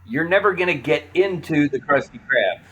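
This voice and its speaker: A man's voice: fairly high pitch, expressive, normal speed, a bit bright, slightly rough, low-quality recording. A man chatting casually as a panelist on a podcast.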